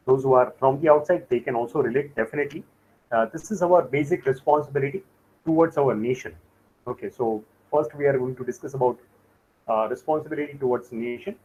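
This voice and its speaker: A man from India leads a live online class, explaining each point slowly and pausing often on fillers. His mid-pitched voice arrives a little muffled, as if through a video call. A faint hiss sits under it. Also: a little mellow. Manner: slow, halting delivery with frequent "uh" fillers. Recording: dull lo-fi call audio with faint noise.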